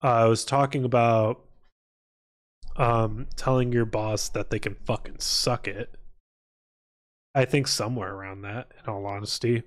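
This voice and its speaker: A man hosts a casual livestream in a medium-pitched voice. He rambles irreverently to his chat and swears freely as he goes. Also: fairly even intonation, measured pace, pauses often. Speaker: live streamer.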